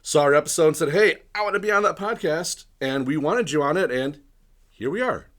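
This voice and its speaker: A man hosting a podcast interview in casual chat, a little hoarse from bronchitis, rambling and often clearing his throat. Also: on the high side, lively intonation, fairly quick, fairly bright tone. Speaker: podcast host.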